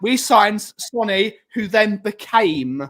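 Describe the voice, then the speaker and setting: A man's voice: high voice, lively intonation, slow pace, a bit bright. An English man on a football fan panel, debating casually and emphatically, repeating his points to drive them home.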